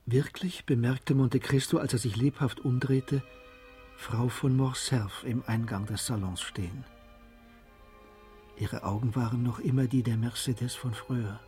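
A man narrating a German radio drama as a calm, measured literary storyteller. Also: medium pitch, faint music behind, lightly acted. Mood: calm, narrative.

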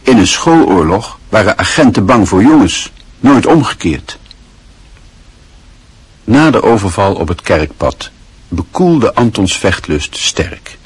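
A man narrating a Dutch radio play in a measured, literary storytelling manner. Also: fairly low pitch, sing-song, slightly rough.

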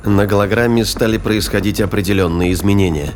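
A man's voice: on the low side, fairly quick, slight background noise. A man reading scripted narration for a science fiction thriller audio drama. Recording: narration over music and battle effects.

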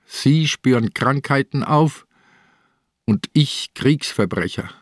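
A man's voice: mid-range voice, slow, no echo. A man playing an ailing old police inspector in a radio drama, speaking bluntly and dryly. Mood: dry, probing. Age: older. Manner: dry, blunt, probing. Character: old ailing Bernese police inspector, dry, probing, stubborn.

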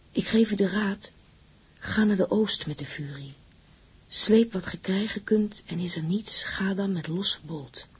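Frank, bossy young sweetheart reading her own letter: very breathy, slightly low, intimate radio drama. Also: heavily acted. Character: blunt, bossy, fond young woman writing a letter. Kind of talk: Dutch radio play, letter monologue in old-fashioned wording.

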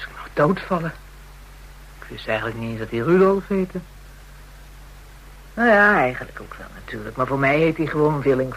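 A woman's voice: low voice, breathy edge, exaggerated voice, poor audio. An actress playing a coarse, brazen, spiteful call girl in a radio drama, talking in crude, taunting slang.

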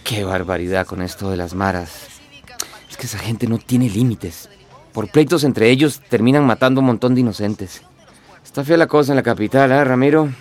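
A man's voice: mid-range voice, normal speed, darkish tone, lightly acted. A man playing a kindly, weary older boss in a radio drama, grumbling but easygoing. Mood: concerned.

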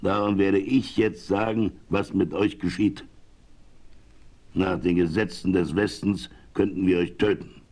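An actor playing a righteous, authoritative homesteader in a German western radio play, speaking deliberately and sternly. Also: male voice, low-pitched, fairly even intonation, a little mellow. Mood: stern judgment. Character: stern, righteous frontier homesteader laying down the law.

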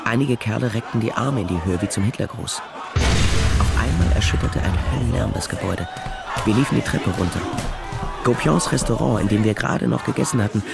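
An actor playing a wry, worldly private detective, narrating a radio crime drama with dry understatement. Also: male voice, fairly low pitch, fairly quick, creaky, loud music behind the voice. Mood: narrating, restrained. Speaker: narrator and lead character.

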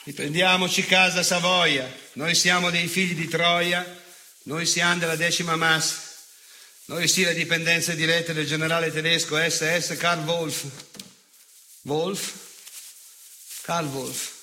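An unrepentant old fascist delivering a stage monologue. His voice is sharp, forceful and pitched rather high for a man. He gloats with sardonic relish, declaiming and repeating words with heavy pauses. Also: flat delivery, full character voice. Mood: bitterly boastful. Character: unrepentant, nostalgic old Italian fascist recounting war crimes.

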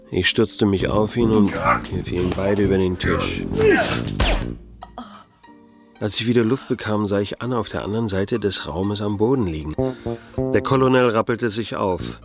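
A man's voice: lowish voice, close to an everyday voice. An actor playing a world-weary private detective in a noir radio drama, terse and sober as he tells his case. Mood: tense narration. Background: music.

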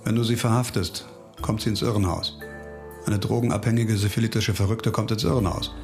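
An actor playing a grim, hard-bitten police investigator in a radio crime drama, speaking insistently and probingly, with music behind him. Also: male voice, on the low side, steady tone, a little fast, creaky. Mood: cold, resolute.

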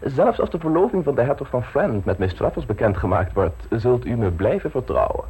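An actor playing a suave, ambitious gentleman politician in an old radio play, polished, self-assured and teasingly frank. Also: medium pitch, very animated, a little fast, a little hiss or hum, low-quality recording. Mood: assured, scheming.

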